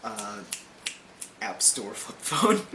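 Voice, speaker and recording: A young adult friend joking around in casual chat, speaking quietly with a sore throat. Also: medium pitch, very animated, drawn-out words, background noise. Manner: playful, jokey. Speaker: vlogger's friend. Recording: echoey room.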